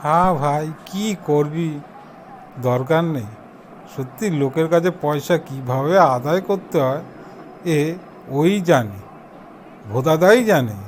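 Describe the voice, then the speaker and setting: Man as a grumbling cartoon pal, raised pitch. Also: animated, a little slow, long pauses, a little mellow, a little hiss or hum. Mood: grumbling, commiserating. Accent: eastern Bengali. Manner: chatty, complaining. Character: aggrieved young male pal, gossiping and grumbling. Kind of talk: amateur comic cartoon dialogue.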